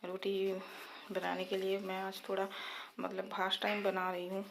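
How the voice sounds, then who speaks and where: A woman with a slightly hoarse throat, narrating her daily routine in a casual, chatty vlog style with English words mixed in. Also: mid-range voice, monotone, normal speed, background noise. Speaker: vlogger.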